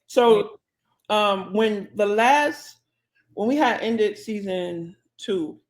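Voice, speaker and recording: Woman co-host, mid-pitched, lively casual storyteller, plays out both voices of a chat. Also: very slow. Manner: animated, acts out conversations in quotes. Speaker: podcast co-host.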